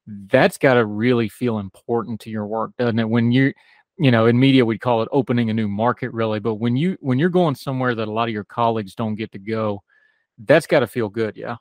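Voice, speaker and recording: Folksy Appalachian talk radio host. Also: male voice, mid-range voice, fairly quick, no room sound, clean studio sound. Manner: folksy, talkative, self-deprecating. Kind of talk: talk radio hosting and interviewing.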